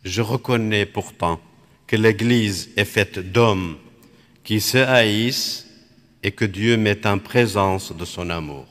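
A man from Iraq, a Dominican friar whose first language is Aramaic, speaks in short, measured phrases with long pauses between them. His delivery is unhurried and contemplative. His voice carries a long reverb, as if spoken in a large hall. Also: on the low side, a bit bright. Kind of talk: slow reflective spiritual talk.

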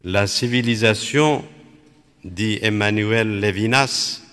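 Slow, reflective priest in a hall. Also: male voice, fairly low pitch, a bit bright, clean tone. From Iraq. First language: Aramaic. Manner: measured, contemplative, phrase by phrase. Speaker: Dominican priest. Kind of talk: spiritual reflection.

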